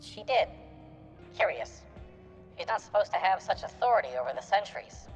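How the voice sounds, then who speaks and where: A man voicing a composed, shrewd resistance member from a video game, speaking in a measured, formal way. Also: high-pitched, very animated, pitched above the performer's own voice. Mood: composed, businesslike. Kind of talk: acted reading of video game dialogue. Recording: dull lo-fi sound with game music.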